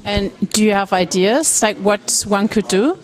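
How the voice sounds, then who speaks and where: A woman's voice: mid-range voice, unhurried, rough recording. A woman interviewer asking a brief question.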